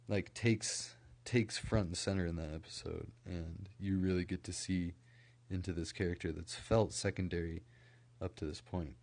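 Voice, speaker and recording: A young man from Idaho, rambling casually on a late-night stream, full of "like" fillers, his voice a little scratchy from a recent cold and kept quieter than usual. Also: deep voice. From Idaho, USA. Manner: rambling, many "like" fillers and restarts. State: slightly scratchy from recent illness.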